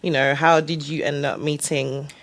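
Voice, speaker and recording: A woman's voice: low voice, level tone, moderate pace. A woman hosting a podcast, chatting casually and laughing often as she tells a story. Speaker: podcast host. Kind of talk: casual interview chat.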